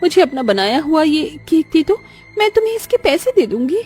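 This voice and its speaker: A woman's voice: sits high, sing-song, fairly quick, a little mellow, pitched above the performer's own voice. A woman voicing a haughty, dramatic rich young daughter-in-law in a moral-story cartoon, exclaiming theatrically. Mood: pleading.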